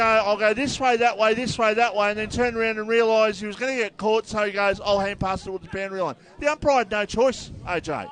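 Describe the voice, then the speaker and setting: A man calling a local football match live, loud and excitable at big moments. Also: high voice, slight background noise. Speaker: football commentator. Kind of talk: live sports commentary. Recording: outdoor commentary with crowd.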